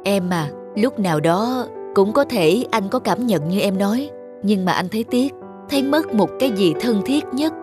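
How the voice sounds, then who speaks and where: A man voicing a devoted, gently regretful husband in a cartoon, soft and sincere. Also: high-pitched, animated, moderate pace, over music. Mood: tender, regretful. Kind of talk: Vietnamese cartoon voice acting.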